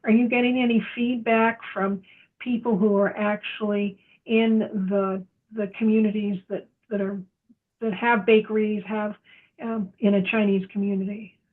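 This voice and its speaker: A woman in the audience asking a question on a video call, halting and restarting. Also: mid-range voice, little pitch movement. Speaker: audience member. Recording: tinny phone-like line.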